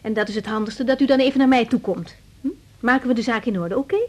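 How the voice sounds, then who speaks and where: An actress playing a young doctor in a radio drama, brisk, reassuring and kind. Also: female voice, fairly high pitch, a little mellow, close to an everyday voice. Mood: friendly, businesslike. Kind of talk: naturalistic radio drama acting. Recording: noisy 1980s radio drama recording.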